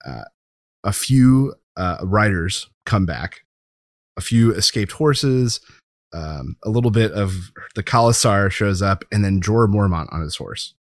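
A man's voice: on the low side, expressive, measured pace, no room sound, clean studio sound. A man co-hosting a podcast, chatting casually and enthusiastically about a TV show. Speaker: podcast co-host.

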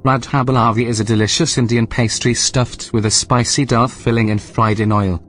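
An actor playing a composed, snide artificial intelligence running a station in a sci-fi audio drama, speaking in a precise, formal, deadpan way. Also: male voice, medium pitch, normal speed, faint music behind, close to an everyday voice. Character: dry, snide male AI station supervisor, composed.

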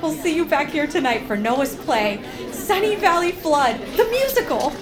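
An actress playing a brisk, officious small-town councilwoman with an upbeat, commanding manner in a family audio comedy. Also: big pitch swings. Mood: upbeat, decisive. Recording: noisy crowded meeting hall behind, rumble. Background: crowd murmur and rumble.

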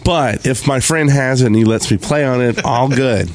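A man's voice: mid-range voice, normal speed, crowd noise. Casual man chatting on a gaming podcast. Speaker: podcast host. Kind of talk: casual group chat about games. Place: convention room with a live audience. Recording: live recording at a convention.

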